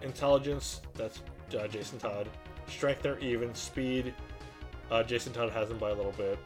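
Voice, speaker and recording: A man's voice: mid-range voice, fairly even intonation, moderate pace, faint music behind. A man co-hosting a podcast, chatting casually and informally with his partner. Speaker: podcast co-host. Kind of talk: casual banter.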